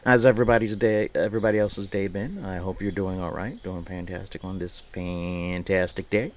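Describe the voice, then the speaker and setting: A man's voice: low voice, fairly even intonation, moderate pace. A man livestreaming, casually chatting with viewers and reading aloud in a rambling, playful way that often repeats phrases. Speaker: live streamer.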